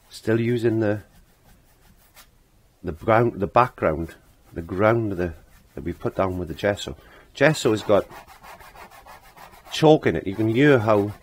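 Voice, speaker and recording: A middle-aged man with a croaky voice giving a casual step-by-step painting lesson, rambling as if to himself and repeating his phrases. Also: fairly low pitch, lively intonation, a little slow, pauses often. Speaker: painting instructor. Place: indoor home art studio.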